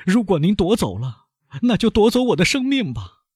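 Man voicing a shrill, frantic captive banker. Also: big pitch swings, normal speed, darkish tone, slightly rough, no room sound, clean studio sound, full character voice. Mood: pleading. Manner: shrill, pleading and desperate. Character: frantic aging male banker held captive.

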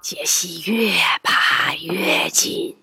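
A woman narrating a children's audio drama in an expressive, dramatic storytelling manner. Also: fairly low pitch, very slow, vocal fry, in a whisper. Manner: dramatic, sometimes hushed storytelling. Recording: music behind the voice.

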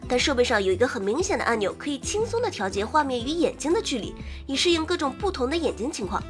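A woman host reading scripted game news in an expressive presenter's manner, slipping in wry, playful asides. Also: high voice, fast. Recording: music under the voice. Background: background music.

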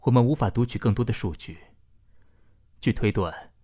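A doctor in a sci-fi radio drama with a fairly low voice. He is calm and formal, choosing a measured, clinical tone that stays polite. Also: husky. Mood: clinical, explanatory. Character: calm, formal male doctor delivering a diagnosis. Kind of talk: science-fiction radio drama acting.

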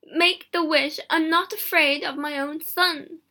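A distraught elderly mother from a storybook, acted by a woman reader. Her voice is high and raised, with emphatic, urgent phrasing. She is grieving and insistent. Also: steady tone, unhurried, pushed and bright, exaggerated voice. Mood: urgent, pleading.

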